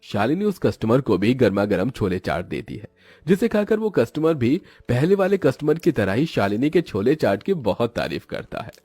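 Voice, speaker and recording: A man's voice: medium pitch, sing-song, moderate pace, dark tone, husky, no room sound, faint music behind. A man narrating a scripted moral story for children.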